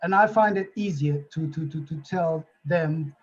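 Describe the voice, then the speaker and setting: A man's voice: fairly high pitch, unhurried. Hesitant man on a dull noisy line. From Portugal. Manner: hesitant, repeats words while starting sentences. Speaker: panelist.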